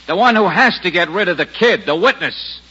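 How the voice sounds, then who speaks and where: An actor playing a confident, wry private detective in a 1950s radio drama, with a brisk, wisecracking, self-assured delivery. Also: male voice, high-pitched, expressive, sharp tone, breathy edge, exaggerated voice. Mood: taunting. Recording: dull, noisy old radio recording.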